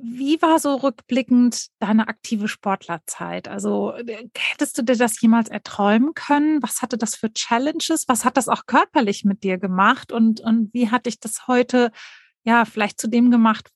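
A woman's voice: fairly high pitch, unhurried, dry recording, studio quality. A woman hosting an interview, asking several follow-up questions in a row.

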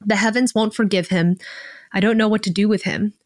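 A troubled young prince read by a woman in a dramatic story. The delivery is earnest, at a mid female pitch, with a dry recorded sound. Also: fairly even intonation, a little fast, darkish tone, no echo, close to an everyday voice. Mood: quiet worry. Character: troubled, sorrowful young prince who turns angrily threatening.

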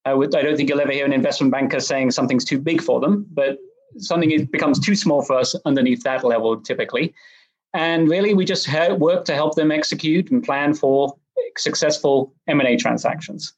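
A man from England with an English accent, a guest on a podcast interview, explaining his work in a measured, articulate way. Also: on the high side, breathy edge, lo-fi sound. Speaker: guest, M&A advisor.

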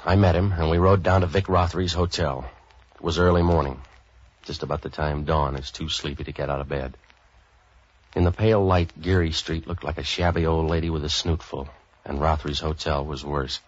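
An actor playing a cynical, street-wise fixer with clipped, deadpan, wisecracking delivery in a 1940s radio noir style. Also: male voice, extremely low pitch, a little fast. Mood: wry narration. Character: street-wise cynical hardboiled male private fixer narrating his case. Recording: dull old-time radio recording.

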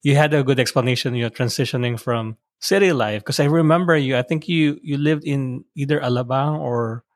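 A man from the Philippines hosting a podcast interview, supportive and quick with short affirmations. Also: mid-range voice, dry recording, studio quality. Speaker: podcast host. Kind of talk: casual interview. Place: home in the United States.

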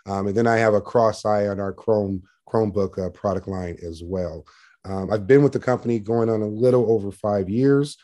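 Low, mellow man with assertive sales delivery. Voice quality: loud, projecting voice. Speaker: senior sales manager, interview guest. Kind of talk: conversational business interview.

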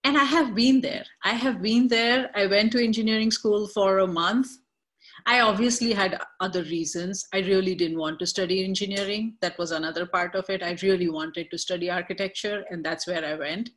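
A woman hosting an interview, speaking in a thoughtful, halting way with fillers. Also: mid-range voice, fairly even intonation, fairly bright tone. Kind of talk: conversational interview.

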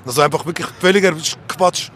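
Candid Swiss man with higher-pitched voice. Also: fast, a bit bright. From Switzerland. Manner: candid, colloquial, rambling. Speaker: street interviewee. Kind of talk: casual personal reflection. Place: outdoors on a street. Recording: faint outdoor background noise.

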